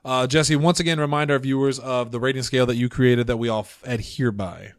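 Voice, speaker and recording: एक आदमी जो पॉडकास्ट का सह-होस्ट है, मज़ाकिया और व्यंग्य भरे अंदाज़ में बातें करता है और अक्सर हँसता है। रिकॉर्डिंग सूखी और पास के माइक से है, गूँज नहीं।. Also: male voice, medium pitch, fairly even intonation, fairly quick, no room sound, clean studio sound. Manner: joking, sarcastic, laughs often. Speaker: podcast co-host. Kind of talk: casual podcast chat.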